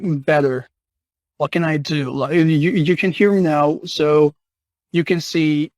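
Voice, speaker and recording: A man's voice: a little high, no echo. A seventeen-year-old boy from Turkey, hesitantly asking for advice in a voice message, often repeating words. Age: teens. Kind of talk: recorded voice message.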